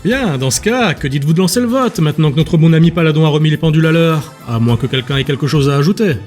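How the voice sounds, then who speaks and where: Man acting a breezy, sardonic councillor, higher pitched. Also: lively intonation, fast, darkish tone, lightly acted. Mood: sardonic, amused. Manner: breezy, ironic, theatrical. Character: casual, sardonic, mocking male councillor. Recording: music bed behind the voice.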